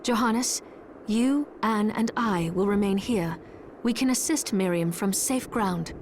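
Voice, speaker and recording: A woman's voice: mid-range voice, unhurried, a little hiss or hum, lightly acted. An actress playing a composed, formal and firm female church exorcist in a video game. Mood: brisk, commanding. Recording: loud music behind the voice. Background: loud game music.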